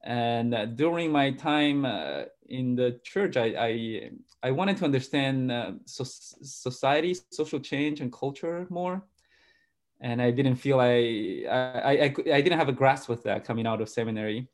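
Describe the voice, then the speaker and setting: A man in his forties from Argentina, a pastor and sociologist answering interview questions. He speaks haltingly, often repeating words and restarting his sentences. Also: medium pitch, lively intonation. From grew up in Argentina.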